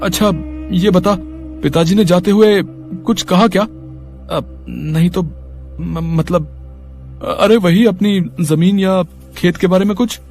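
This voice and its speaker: A man's voice: high-pitched, slow, pauses often, darkish tone, soft background music. A man voicing a greedy, cunning elder brother in a moral story, sly and assertive. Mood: falsely consoling.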